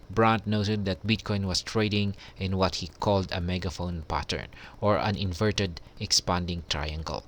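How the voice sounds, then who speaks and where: A Filipino man with a low-pitched voice works through a news explainer. He is polite and somewhat halting, pausing on hesitations as he shifts between reading aloud and giving his own explanation. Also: a bit bright. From Philippines. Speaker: crypto news vlogger. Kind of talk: news explainer, alternating read-out article and commentary.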